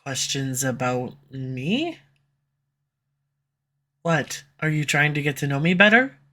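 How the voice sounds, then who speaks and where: Woman doing a snarky villain, bright edgy voice, sarcastic teasing all the way through. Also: sits low, sing-song, slow. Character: snarky sharp-tongued villain, slightly tsundere. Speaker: audio roleplay voice actor. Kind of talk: scripted audio roleplay. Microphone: USB condenser mic.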